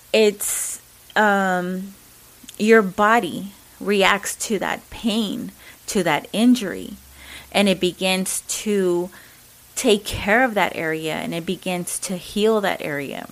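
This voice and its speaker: A woman's voice: medium pitch, low-quality recording. A woman with a Mexican accent talking earnestly and casually, with many fillers and restarts. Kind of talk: casual reflective talk.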